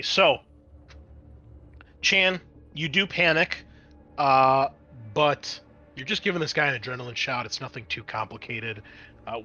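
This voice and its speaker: A man's voice: medium pitch, bright, forceful tone, a little hiss or hum. A man working as a game master, narrating a tabletop role-playing game in a conversational, improvised way.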